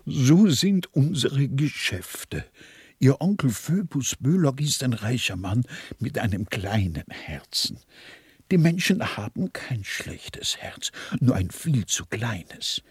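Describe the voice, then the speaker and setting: An actor playing a timid, shabby little money-changer in a radio play, wistful and resigned, with a husky, halting delivery. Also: male voice, medium pitch, big pitch swings, full character voice. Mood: resigned musing.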